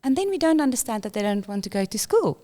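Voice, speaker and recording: A middle-aged woman from South Africa with a South African accent, a guest on an interview podcast, telling her story in a quick, animated way and sometimes correcting herself. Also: a little high. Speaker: podcast guest, inclusion leader. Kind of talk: conversational interview.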